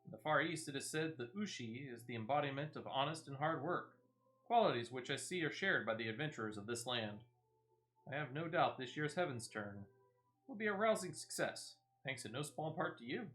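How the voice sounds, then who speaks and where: A man's voice: medium pitch, expressive, fairly quick, fairly bright tone, natural voice. A man voicing a gracious festival official from a game, reading the dialogue in a formal, ceremonious way. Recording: music playing behind the voice.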